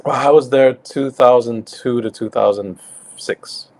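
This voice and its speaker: A man's voice: medium pitch, measured pace. A man in his late thirties from Singapore, with a slightly English-tinged accent, chatting casually as a guest over a video call and often restarting his sentences. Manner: relaxed, with frequent fillers and restarts. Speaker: guest, crypto company co-founder. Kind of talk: casual interview chat.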